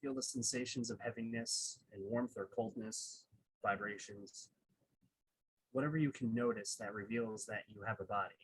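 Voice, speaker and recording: A man leading a guided meditation, speaking in unhurried short phrases with pauses between them. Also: fairly low pitch, fairly even intonation, breathy edge, poor audio.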